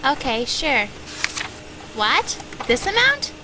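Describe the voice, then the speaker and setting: A woman's voice: high voice, huge pitch swings, slow pace, background noise, heavily acted. A woman playing a mother who is a private eye's client in an amateur radio drama. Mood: thankful, then dismayed. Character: grateful mother hiring a private eye. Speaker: amateur voice actor and credits reader.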